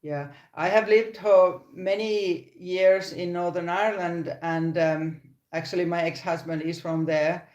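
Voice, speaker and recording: A woman's voice: lowish voice, steady tone, a little slow, a little mellow, echoey room, highs cut off. An older woman with a Finnish accent, hosting a casual live crochet stream from her phone, often restarting sentences and laughing. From Finland. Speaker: crochet channel host.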